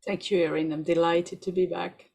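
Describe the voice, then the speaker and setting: A woman in her forties from France with a French accent, a podcast guest talking quickly in animated asides. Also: lowish voice, gravelly. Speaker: podcast guest, researcher and doula. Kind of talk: conversational interview.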